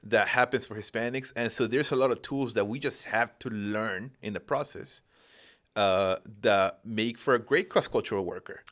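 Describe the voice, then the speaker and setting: A man's voice: on the low side, normal speed, fairly bright tone. A man in his thirties, originally from Peru, explaining patiently in a casual conversation with frequent rhetorical questions.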